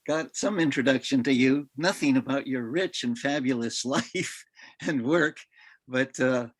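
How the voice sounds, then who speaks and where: A man hosting a roundtable, giving informal introductions in a somewhat halting way. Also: mid-range voice, no room sound. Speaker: roundtable host. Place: online video meeting.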